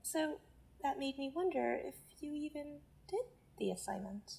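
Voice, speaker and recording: An actress playing a patronizing self-help mentor who presses with controlling, condescending insistence in an audio drama. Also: female voice, sits high, animated, a little slow, gravelly, mellow, heavily acted. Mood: probing, doubtful. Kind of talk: naturalistic audio drama acting.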